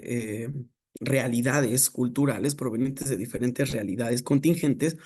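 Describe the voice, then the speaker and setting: Man giving a measured, gravelly lecture. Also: medium pitch, a little mellow. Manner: measured, reflective, with frequent filler pauses. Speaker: speaker at an academic colloquium. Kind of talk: academic lecture.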